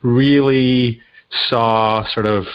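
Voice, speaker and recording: A man's voice: medium pitch, fairly even intonation, very slow, highs cut off. A middle-aged man who grew up in Australia, England and the US, answering conversationally as an interview guest. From India-born, grew up in Australia, England and the US. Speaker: guest, coach.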